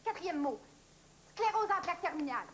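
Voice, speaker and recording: A man's voice: very high voice, big pitch swings, fairly quick, a bit bright, a little hiss or hum, heavily acted. A man playing a keen, literal-minded charades contestant, guessing in fragments. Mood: eager, focused. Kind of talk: guessing words aloud in fragments.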